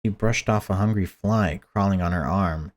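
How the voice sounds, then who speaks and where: A man with a fairly low voice reads a horror visual novel aloud. He narrates dramatically, in the role of a let's player. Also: normal speed.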